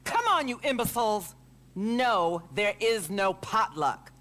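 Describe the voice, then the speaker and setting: A woman's voice: mid-range voice, sing-song, slow pace, a bit bright. A woman playing an irritable, abrasive government agency boss in a video game, curt, scornful and commanding. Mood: furious. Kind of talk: video game cutscene acting.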